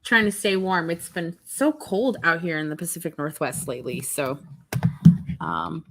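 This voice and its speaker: A woman's voice: on the low side, normal speed, creaky, fairly bright tone, slight background noise. A woman with an American accent hosting a community talk show in an informal, conversational way.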